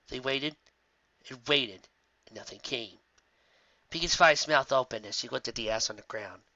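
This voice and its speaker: A man's voice: medium pitch, pushed and bright, no room sound, dull audio. A man narrating a fantasy story dramatically, as a reader of fan fiction.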